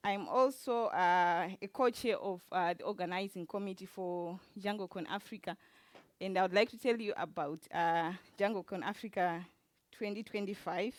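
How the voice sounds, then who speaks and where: Zimbabwean woman giving a halting talk. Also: mid-range voice, no echo. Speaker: conference speaker, event organizer. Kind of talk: informal lightning talk presentation. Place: conference talk before an audience.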